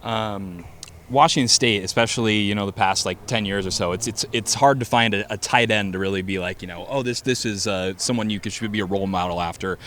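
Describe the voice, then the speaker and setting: A male reporter with a lowish voice works his way through a question, stopping and restarting as he searches for words. A faint hum of the room lies under him. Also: brisk, a bit bright. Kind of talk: press conference question.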